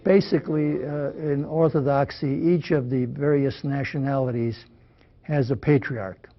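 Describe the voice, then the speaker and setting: A man explaining in an unscripted interview, pausing between phrases. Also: mid-range voice, fairly even intonation. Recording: dull, lo-fi interview audio.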